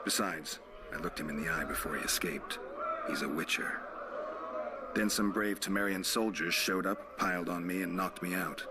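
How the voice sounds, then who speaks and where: Man playing a very deep, stoic monster hunter. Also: moderate pace, heavy background noise, close to an everyday voice. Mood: matter-of-fact. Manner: terse, deadpan. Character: stoic, dry, world-weary male monster hunter. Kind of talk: video game cutscene acting.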